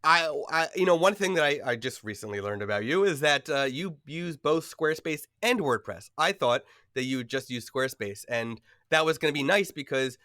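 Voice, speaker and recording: A male podcast host talking casually with a stuffy, nasal voice from a cold. He rambles a little, with fillers and quick laughs, at an average pitch. The sound is close and dry. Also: bright, forceful tone, dry recording. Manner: chatty, filler-heavy, laughs often.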